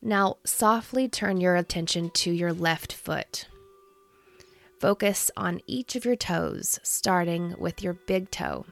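A woman in her thirties leading a guided meditation in a soothing, gentle, unhurried manner. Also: fairly low pitch, vocal fry, faint music behind. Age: 30s.

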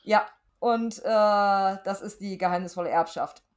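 A woman's voice: mid-range voice, unhurried. A middle-aged woman chatting casually as a podcast guest answering questions. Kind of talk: casual chat.

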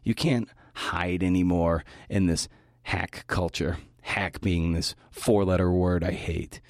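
Low-voiced man giving a conversational talk. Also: steady tone, slow, dry recording, clean studio sound. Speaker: podcast host giving a talk. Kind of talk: prepared talk with conversational asides.

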